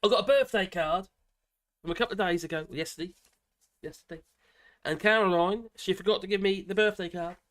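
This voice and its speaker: Hoarse older Englishman with a cold. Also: high-pitched, brisk, fairly bright tone. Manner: rambling, chatty, with frequent fillers and self-corrections. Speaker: live stream host. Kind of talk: casual live stream chat.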